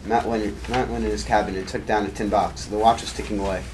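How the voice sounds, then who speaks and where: A man's voice: fairly low pitch, flat delivery, fast. A man narrating an amateur puppet show, reading hesitantly with frequent restarts. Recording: noisy amateur recording.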